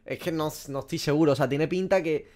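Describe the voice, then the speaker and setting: A young man with a Spanish accent reacts to a game out loud in a small room. He swears freely and mocks himself, his voice sitting a little high. He sounds casual and animated. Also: fairly quick. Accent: Spanish from Spain. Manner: swears often, animated, self-mocking. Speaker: gaming streamer.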